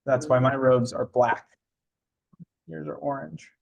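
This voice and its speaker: A man's voice: mid-range voice, fairly even intonation, measured pace, creaky, lo-fi sound. A young man who is a divinity student and part-time organizer welcomes a small group, chatting casually with fillers and small laughs. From Tennessee, US.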